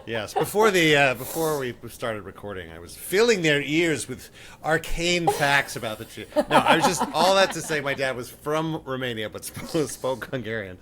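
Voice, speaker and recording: A man's voice: mid-range voice, sing-song, moderate pace, crowd noise. A middle-aged man comedian on a casual comedic panel, talking in a rambling, hesitant way with dry wit.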